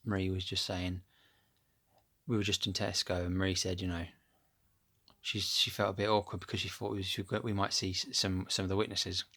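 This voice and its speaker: A man with a deep voice chatting casually to his phone camera at home, rambling and losing his thread with plenty of ums. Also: flat delivery, a little fast, bright, forceful tone. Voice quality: low, deep voice. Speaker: vlogger. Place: at home, upstairs room. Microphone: phone camera held close.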